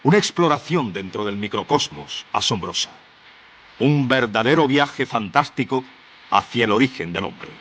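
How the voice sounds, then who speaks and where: A man's voice: medium pitch, lively intonation, moderate pace, a bit bright. A man giving scripted dramatic narration for a radio play. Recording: noisy old radio drama recording.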